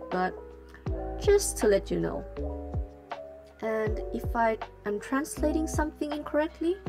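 A woman hosting a let's play who speaks English as a second language, translating the game as she plays and talking in a self-conscious, apologetic way over background music. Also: on the high side. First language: not English. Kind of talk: casual gameplay commentary and translation.

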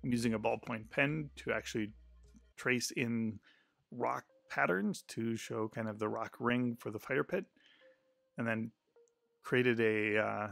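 A man's voice: mid-range voice, steady tone, measured pace, gravelly, a bit bright, dry recording, soft background music. A man narrating a step-by-step crafting tutorial in a plain, explanatory voice-over with frequent filler sounds.

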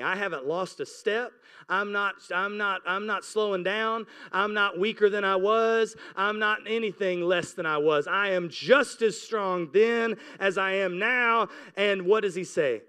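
A man's voice: high-pitched, sharp tone, clean tone. A man in his forties, a pastor preaching with impassioned, repeated urging and scripture reading into a handheld mic. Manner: exhorting, repetitive urging. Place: church stage, indoors. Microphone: handheld microphone held close.

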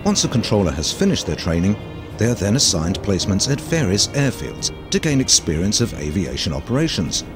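A man's voice: lowish voice, very animated, brisk. A man reading scripted documentary narration in a formal, informative manner. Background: guitar music.

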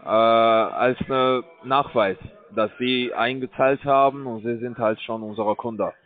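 A man calling from a scam call center, speaking broken full of fillers as he pushes his pitch. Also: fairly even intonation, takes it slowly. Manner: broken German, filler-heavy, pushy salesman. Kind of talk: phone call. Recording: tinny phone line.

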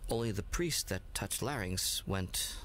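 Man playing flustered, excitable alien stickler. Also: lowish voice, a little slow, a little hiss or hum. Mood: nervous. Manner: flustered, exclamatory, hesitant. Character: excitable rule-bound male alien, nervous and pedantic. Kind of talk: comic sci-fi audio drama acting.

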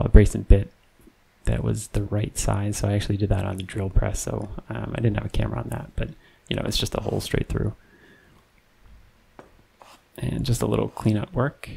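A man narrating his woodworking build in a relaxed, unhurried way, with many ums and restarts. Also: on the low side, gravelly, a little hiss or hum. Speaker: woodworking channel host. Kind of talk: casual voice-over narration of a build. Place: home woodshop.

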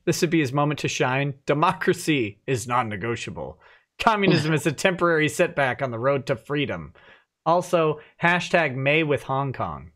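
A man's voice: a little high, lively intonation, normal speed, a bit bright. A man in his thirties hosting a live stream from a home studio, chatty and laughing often, reading viewer comments aloud into a headset mic. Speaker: host of a live stream show. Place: home office studio, indoors. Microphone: headset with boom mic.

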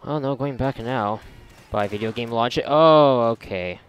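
A man's voice: medium pitch, unhurried, clean tone, faint music behind. A man giving casual, sarcastic, profanity-laced gameplay commentary, often muttering to himself. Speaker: gamer doing a playthrough.

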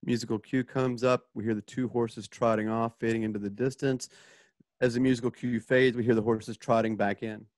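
A male narrator reads scripted stage directions for a radio play. His voice has a middle pitch and is recorded dry, with little room sound. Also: level tone, fairly quick, darkish tone, no room sound. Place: home, on a group video call.

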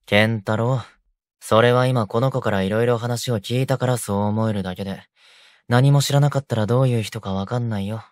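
A man voicing a considerate, gentle young delinquent who keeps the peace, in an audio drama. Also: mid-range voice, fairly even intonation, moderate pace, no echo, studio quality, close to an everyday voice. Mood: gentle, concerned. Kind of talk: Japanese boys' audio drama.

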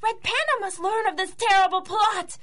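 A woman's voice: very high-pitched, normal speed, pushed and bright. A woman playing an earnest, anxious young boy in a rhymed radio drama, with a plaintive manner. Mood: worried, determined. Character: earnest, anxious young orphan-minded boy seeking heroes.

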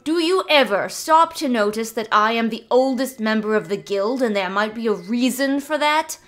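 A woman voicing a proud, easily annoyed male wizard of seventy-one in a storybook reading, speaking in a measured, prim way. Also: a little high, animated, fairly bright tone. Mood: irritated.

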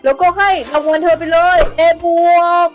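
A performer with a high, youthful voice voicing a short-tempered, bossy cartoon schoolteacher who is always hungry, scolding the class in a fan dub. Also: normal speed. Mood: stern. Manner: scolding, barking orders at the class. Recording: dub over loud background music. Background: music.

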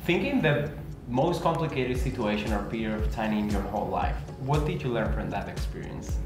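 Lively male interviewer. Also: mid-range voice, fairly quick, echoey room, over music. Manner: cheerful, laughs often, brief questions. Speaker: interview host. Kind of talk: casual interview questions. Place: indoor office lounge. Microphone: lapel mic.

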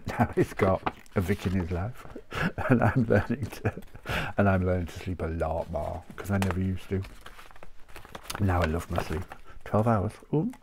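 A man's voice: deep voice, a little slow, noisy background. A man with a chesty cough, chatting in a rambling way and laughing often while cutting paper at his craft desk. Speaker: craft channel host. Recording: paper crinkling close by.

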